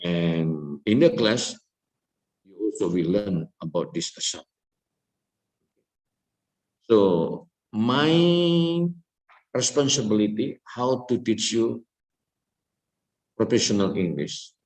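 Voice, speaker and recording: A middle-aged male lecturer with an Indonesian accent and a fairly low voice. He speaks very slowly and deliberately, repeating his points for emphasis. He keeps checking in with his students by asking "okay?". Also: animated, a little mellow, rough recording. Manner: slow deliberate speech with frequent "okay?" checks; repetitive, emphatic. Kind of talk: classroom lecture.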